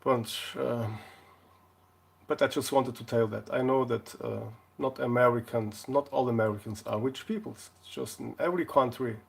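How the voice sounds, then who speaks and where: A man from Portugal, chatting casually on a live stream in a jokey way, often halting to search for words. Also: medium pitch, gravelly, husky. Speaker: live streamer, console repair video maker.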